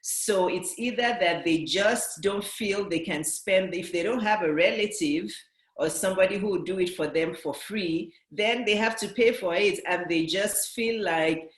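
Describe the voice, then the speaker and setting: A woman's voice: mid-range voice, a little slow, roomy sound, poor audio. A woman business coach with a Nigerian and British accent, giving an earnest motivational talk to camera and driving her points home by restating them.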